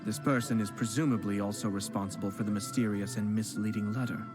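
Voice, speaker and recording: A man voicing a composed young male noble clan head who speaks in a measured, formal and polite way in a game. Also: on the low side, fairly even intonation, natural voice. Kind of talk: video game voice acting. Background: game music.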